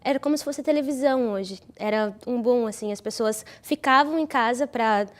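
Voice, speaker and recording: A woman's voice: a little high, normal speed. A woman, an actress explaining casually in an interview.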